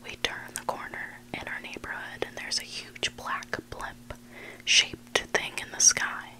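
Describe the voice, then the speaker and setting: A person whispering a story in ASMR style as a host, hushed and deliberate, pausing between short phrases. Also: rough recording. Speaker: ASMR host reading submitted online stories.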